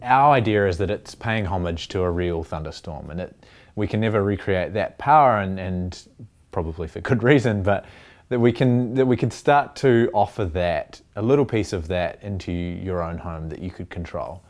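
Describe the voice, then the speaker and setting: A man's voice: deep voice, normal speed, darkish tone. A young man, a designer, talks reflectively in a documentary interview and often restarts his sentences. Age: young adult. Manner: reflective, with frequent restarts and filler "uh". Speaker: designer, studio founder. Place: large indoor design workshop. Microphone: lapel mic.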